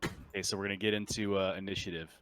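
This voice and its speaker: A man running a tabletop roleplaying game as game master, with a crisp, clear voice. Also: low voice, flat delivery, fast talker, fairly bright tone, a little hiss or hum.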